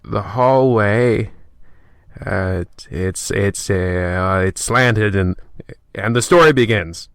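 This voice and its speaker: A man's voice: fairly low pitch, slow, a bit bright, clean tone. A man playing a creepy, sly narrator of an old-time radio horror show, with theatrical delivery and morbid humor. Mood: theatrical, ominous. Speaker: host.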